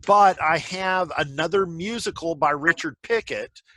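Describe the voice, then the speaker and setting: A man hosting a casual group discussion on a video call, speaking haltingly and often restarting his phrases. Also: on the high side, sharp tone, no room sound. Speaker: host of a virtual play reading. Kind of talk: casual discussion, wrapping up a meeting. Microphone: video call microphone.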